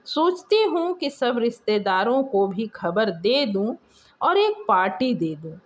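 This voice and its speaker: A woman's voice: a little high, very animated, slow pace. A woman voicing a middle-aged mother in a story, indulgent and matter-of-fact with her children. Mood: resigned.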